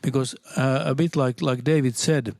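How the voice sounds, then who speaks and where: Native Swedish speaker, a man, audience comment in English; earnest, halting delivery, close dry sound. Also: medium pitch, fairly even intonation, a little mellow, dry recording. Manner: halting, many restarts, earnest and persuasive. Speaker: audience member at a seminar. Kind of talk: spontaneous public comment.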